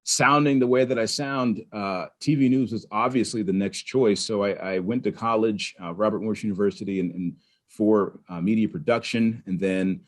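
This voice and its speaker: A man from Pittsburgh, a podcast guest, telling his story in an animated, conversational way with frequent restarts. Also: fairly low pitch, normal speed. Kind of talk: conversational interview.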